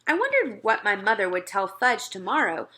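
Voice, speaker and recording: A woman narrating a children's story as an expressive read-aloud. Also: medium pitch, normal speed, slight background noise.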